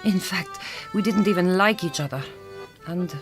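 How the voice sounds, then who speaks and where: A woman playing a grieving, frank middle-aged Hungarian vet in a radio drama, with a Hungarian accent and deliberate, formal phrasing. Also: on the low side, music in the background, lightly acted. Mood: bitter, candid.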